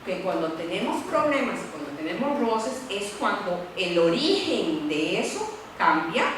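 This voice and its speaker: A woman lawyer speaks candidly at a panel, tagging her points with quick questions. Her voice sits a little high and rings through a very echoey lecture room. She is heard from a distance over faint background hiss. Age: middle-aged. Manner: animated, candid, many "¿verdad?" tags. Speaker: university lawyer. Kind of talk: panel talk.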